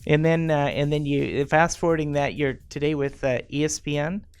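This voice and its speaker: A man hosting an interview show, asking halting questions with frequent fillers and restarts. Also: fairly high pitch, fairly even intonation, clean tone, soft background music. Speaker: talk show host.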